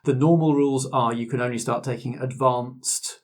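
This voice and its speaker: A man's voice: medium pitch, steady tone, normal speed. Man hosting, with noise behind him. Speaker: game master and host. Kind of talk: casual group discussion of a roleplaying game.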